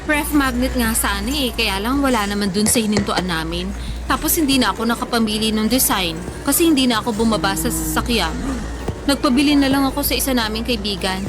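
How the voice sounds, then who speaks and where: Radio drama friend, female, thirty: very high voice from an actress, touchy and defensive, chatty delivery over music. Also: brisk, close to an everyday voice. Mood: casual, touchy. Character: hungry, touchy, forgetful thirty-year-old woman friend. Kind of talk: Tagalog radio drama dialogue. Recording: music bed under the voice.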